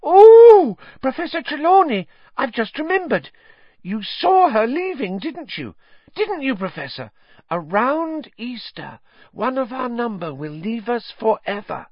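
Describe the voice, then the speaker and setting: A man doing a shrill, gushing schoolgirl. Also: very animated, measured pace, husky, dry recording, exaggerated voice. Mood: excited. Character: gushing, excitable teenage schoolgirl. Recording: dry, slightly dull lo-fi.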